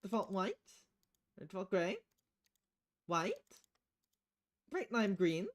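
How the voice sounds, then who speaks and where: A woman live-streaming a game in a casual, chatty way. Her pitch is lively and moves around a lot as she reads text aloud and comments on it. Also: slow pace. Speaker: game streamer reading visual novel dialogue aloud.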